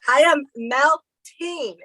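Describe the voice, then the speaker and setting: Chatty woman with a bright, dry sound. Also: high-pitched, drawn-out words, no echo. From El Salvador. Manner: chatty, animated storyteller who laughs often. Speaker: podcast co-host. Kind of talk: casual chat. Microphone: video call recording.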